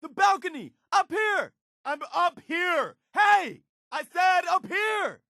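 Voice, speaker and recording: An actor voicing an urgent settler who calls out insistently from a height, in video game dialogue. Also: male voice, extremely high pitch, very animated, slow, creaky, sharp tone, no room sound, shouting, studio quality, full character voice. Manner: insistent calling out. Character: urgent settler shouting down from a high balcony.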